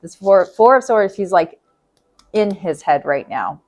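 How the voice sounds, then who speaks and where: A woman reading tarot in a casual, chatty way, thinking aloud and repeating herself, her voice going hoarse. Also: mid-range voice, very animated, a little slow. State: losing her voice. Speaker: tarot reader.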